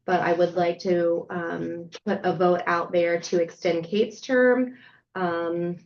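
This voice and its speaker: A woman's voice: fairly low pitch, fairly even intonation, slow, a little hiss or hum. A woman board member leading a vote on a video call, speaking in an appreciative, thankful way. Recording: dull video-call audio.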